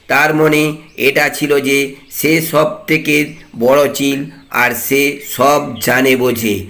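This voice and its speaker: A man's voice: mid-range voice, creaky, fairly bright tone. A man narrating a scripted storybook tale for a cartoon.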